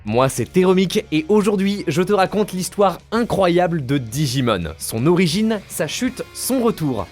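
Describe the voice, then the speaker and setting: A man's voice: high-pitched, sing-song, normal speed, hardly any pauses, a little mellow, faint music behind. A young man, hosting and narrating a scripted online video in an informal, dramatic storytelling manner. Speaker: video essay host and narrator.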